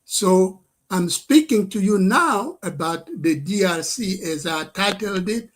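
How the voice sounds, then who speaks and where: An older man, a native French speaker, speaks in a fairly high voice. He talks slowly and deliberately and keeps repeating his points for emphasis. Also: lively intonation. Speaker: scholar and activist addressing leaders. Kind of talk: livestream address.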